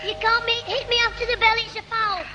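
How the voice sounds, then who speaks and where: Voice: extremely high pitch, steady tone, a little fast, pushed and bright, faint music behind, full character voice. An actor playing a cheeky, wisecracking schoolboy with a Northern English accent in a 1960s British radio sitcom. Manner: impudent, wisecracking. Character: cheeky, mischievous schoolboy son. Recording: noisy old 1960s radio broadcast.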